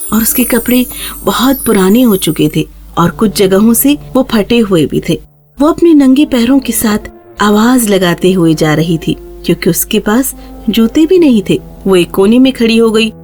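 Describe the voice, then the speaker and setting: A woman's voice: medium pitch, darkish tone. A woman narrating a scripted fairy tale for children as the storyteller.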